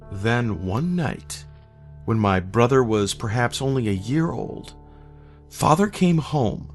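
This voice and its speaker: A man reading a scripted first-person horror story in a measured, solemn way. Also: on the low side. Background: eerie background music.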